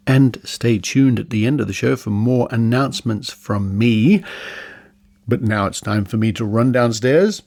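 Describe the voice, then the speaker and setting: A man's voice: mid-range voice, vocal fry, a little mellow. An Australian man hosting a podcast, introducing segments and interviewing in a chatty, informal way. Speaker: podcast host.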